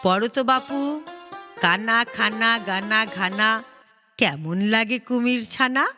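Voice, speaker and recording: A woman's voice: fairly high pitch, slow, pushed and bright. A woman voicing a clever, sly male fox in a children's story, coaxing and mock-innocent, over music.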